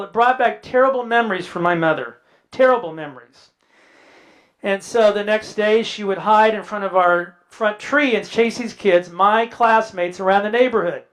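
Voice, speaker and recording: A man's voice: high-pitched, a bit bright. A man in his sixties from the US Midwest, giving a talk as a guest speaker and telling family anecdotes with dry humor, often quoting relatives in dialogue. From Indiana, US Midwest. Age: 60s. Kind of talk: personal storytelling talk.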